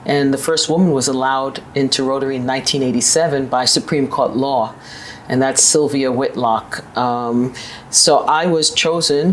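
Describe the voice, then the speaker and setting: Assertive New York woman in her fifties. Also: slight background noise. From New York, USA. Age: 50s. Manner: assertive, upbeat, with sudden high pitch lifts. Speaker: guest, managing director. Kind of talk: conversational interview storytelling.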